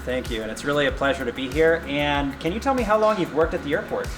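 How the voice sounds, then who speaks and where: A man's voice: a little high, expressive, fast talker. A man hosting a guided tour documentary, presenting to camera in a chatty, playful way. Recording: echoey hall reverb, music behind.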